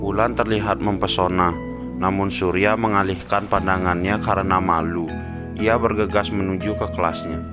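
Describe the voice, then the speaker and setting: A man's voice: low voice, steady tone, normal speed. A man narrating a scripted story. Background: music behind the voice.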